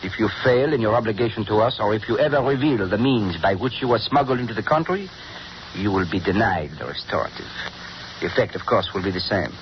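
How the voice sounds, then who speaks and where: A man playing a bitter, cold mad scientist in a 1930s radio drama, measured and formal, on a muffled old broadcast with hiss. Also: fairly low pitch, natural voice. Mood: menacing. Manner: measured, coldly formal and menacing. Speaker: villain.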